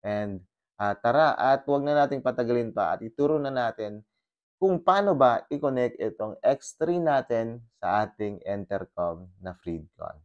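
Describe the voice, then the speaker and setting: A man's voice: medium pitch, normal speed, dull audio. A Filipino man showing step by step how to pair his gear, mixing Tagalog and English with many fillers, speaking into a helmet intercom mic on his motorcycle. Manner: mixes Tagalog and English, steady explaining with fillers. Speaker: motovlogger. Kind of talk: casual tutorial vlog. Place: on a motorcycle, outdoors in a subdivision. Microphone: intercom headset inside a helmet.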